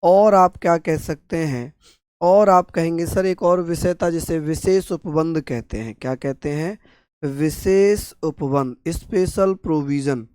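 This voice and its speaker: A man with a sore, hoarse throat teaching an exam-prep class, repeating each term and answering his own questions. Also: sits high, a little mellow, no room sound. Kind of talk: online exam-prep lecture.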